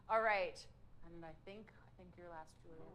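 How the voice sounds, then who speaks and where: A woman's voice: on the low side, moderate pace, fairly bright tone, a little hiss or hum, rough recording. A woman leading a public speaking workshop, upbeat and chatty with plenty of fillers. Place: meetup room with a group.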